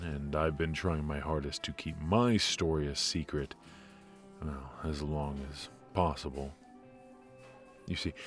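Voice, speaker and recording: A man voicing a charismatic ex-mafia rogue in an ASMR roleplay, his voice extremely deep and his delivery slow and unhurried. He teases and flirts playfully, but he is stiff and worn from his injuries. Faint music plays behind him.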